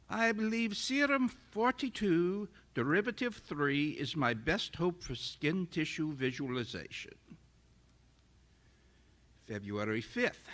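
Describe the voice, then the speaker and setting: An actor playing an arrogant, irritable scientist in a radio drama, with formal, pompous, melodramatic diction. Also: male voice, a little high, a bit bright, full character voice. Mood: grim. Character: arrogant, irritable male scientist, secretive and obsessed. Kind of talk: theatrical radio drama acting, journal narration.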